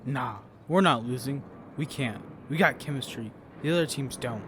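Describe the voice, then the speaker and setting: A man's voice: medium pitch, normal speed, background noise, lightly acted. A man playing a confident, eager teammate who talks in an informal, emphatic way. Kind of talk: audio fiction acting. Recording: music bed behind the voice.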